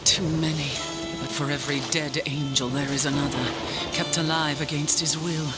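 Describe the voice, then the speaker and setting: An actress plays a proud, war-weary angel commander in a fantasy game. Her voice is low for a woman and formally solemn, resolute in every phrase. Loud music runs behind her. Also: steady tone, moderate pace, close to an everyday voice. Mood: grave, weary. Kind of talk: video game cinematic acting.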